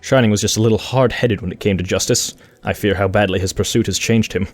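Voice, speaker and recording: Man acting a blunt, low-voiced pony royal guard. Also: steady tone, fast, no room sound, faint music behind, lightly acted. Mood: grim, doubtful. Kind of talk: cartoon fan audio drama acting.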